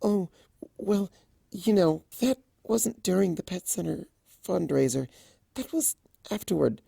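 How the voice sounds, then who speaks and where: A man voicing a shy, gentle young female pegasus pony in a cartoon fan story, soft, breathy and hesitant. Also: medium pitch, animated, unhurried, no echo, clean studio sound, voice pushed up, heavily acted. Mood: flustered. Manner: hesitant, stammering.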